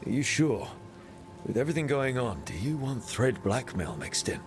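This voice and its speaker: A man's voice: mid-range voice, big pitch swings, normal speed, close to an everyday voice. A man voicing a loyal, concerned companion in a fantasy video game, earnest and questioning. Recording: music behind the voice. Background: music.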